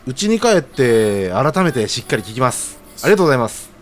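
A man's voice: medium pitch, very animated, fast, soft background music, lightly acted. An actor playing an earnest, hesitant young man in an audio drama, speaking in a casual, deferential junior's way. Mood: eager. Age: young adult.

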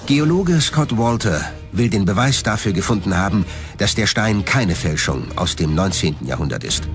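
A man's voice: on the low side, lively intonation, moderate pace, music in the background. A man reading scripted documentary narration as the narrator of a history programme.

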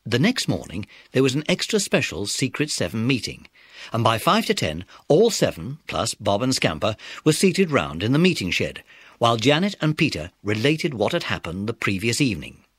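Husky British man narrating, very lively intonation. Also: mid-range voice, moderate pace, no echo, studio quality. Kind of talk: scripted children's story narration.

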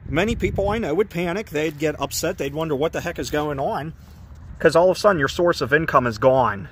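A man vlogging casually as he walks around an empty building outdoors, chatty and quick to get indignant, with a phone camera's sound. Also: on the high side, expressive, a little hiss or hum. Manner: chatty, ranting, easily indignant. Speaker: urban explorer vlogger. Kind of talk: casual walk-around narration.

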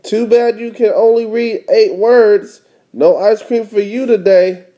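A man's voice: high voice, fairly even intonation, a little slow, mellow, voice pushed up. A man voicing a challenging, teasing schoolboy big brother from a children's picture book.

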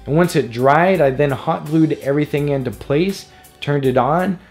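A man's voice: fairly high pitch, moderate pace. A man hosting a tutorial, reading scripted narration in a casual, explanatory way. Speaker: tutorial host. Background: background music.

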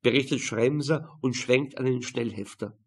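A man's voice: medium pitch, moderate pace, slightly rough. A man reading a crime novel as scripted narration. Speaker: audiobook narrator.

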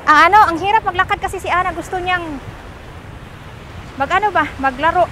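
Woman, forties, high bright voice, chatty selfie vlog, windy beach. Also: fast talker. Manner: chatty, switches between languages while walking. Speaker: vlogger. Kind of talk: casual walking vlog. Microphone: phone at arm's length. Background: wind and surf.

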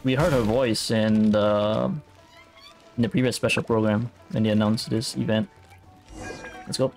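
A male game streamer talks casually over his playthrough. His gravelly voice moves slowly as he mutters and thinks aloud. Faint game music plays behind him. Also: lowish voice, fairly even intonation, darkish tone.

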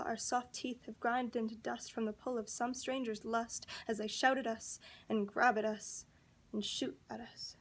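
Young woman reciting slam poetry, flat pitch. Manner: earnest, pleading. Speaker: spoken word poet.

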